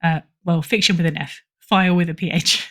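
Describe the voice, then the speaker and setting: A woman's voice: lowish voice, steady tone, fairly quick, a bit bright. A middle-aged woman talking casually as an interview guest, chatty and self-deprecating. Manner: self-deprecating, chatty, chuckles through remarks. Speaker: podcast guest, writer and editor.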